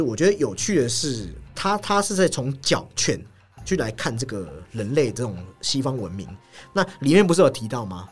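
A man's voice: mid-range voice, slightly rough. A man hosting a film discussion, explaining and analyzing in an explanatory way, often repeating words and phrases. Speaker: film podcast host.

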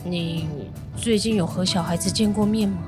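A man's voice: high-pitched, steady tone, normal speed, over music, lightly acted. An actor playing a reserved teenage boy investigator in an audio drama, terse and understated. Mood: cool, probing. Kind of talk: Mandarin audio drama acting.

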